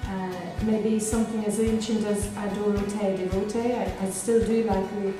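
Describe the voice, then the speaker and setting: A middle-aged woman with a Belfast accent talks gently and thoughtfully, pausing on ums and restarting phrases. Her pitch stays level and even throughout. The sound is very echoey, with clear music underneath. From Northern Ireland. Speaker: Dominican sister. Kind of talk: reflective personal interview.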